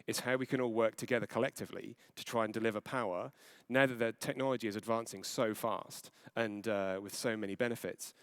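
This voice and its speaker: Low, clear-voiced Falklands man presenting. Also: dry recording. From Falkland Islands. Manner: earnest, occasional fillers and self-corrections. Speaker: conference panel speaker. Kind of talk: conference presentation.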